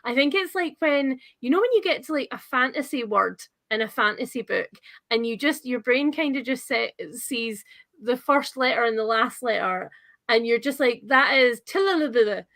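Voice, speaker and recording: A woman hosting a casual live book chat, talking in an enthusiastic, chatty way with animated reactions. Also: on the high side, moderate pace. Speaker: host of a book channel livestream.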